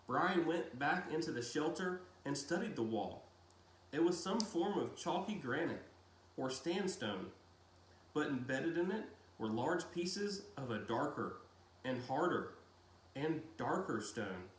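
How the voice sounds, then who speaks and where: A man reading a novel aloud in a deliberate storytelling style with dramatic pauses. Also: a little high. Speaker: reader of a children's novel read-aloud. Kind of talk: audiobook-style narration with brief casual asides. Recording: roomy echo, faint background noise.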